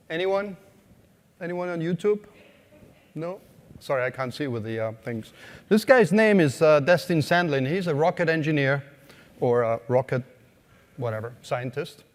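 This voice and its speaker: Austrian man giving a keynote in a hall. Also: a little high. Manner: conversational, asks the audience questions, frequent uh fillers. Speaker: conference speaker. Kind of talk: keynote talk to a live audience. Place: conference hall.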